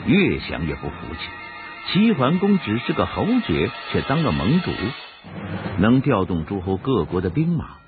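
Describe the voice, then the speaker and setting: A man's voice: fairly low pitch, wildly swooping pitch, normal speed. A man narrating a historical radio drama in a scripted, dramatic storytelling style. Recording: phone-like radio recording over music.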